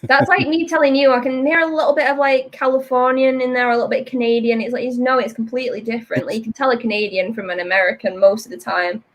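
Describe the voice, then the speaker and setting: A British woman hosts a casual chat while she inks comic art. She talks in a lively, frank way. Her voice sits somewhat high. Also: quick pace, few pauses. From Britain. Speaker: host, comic artist.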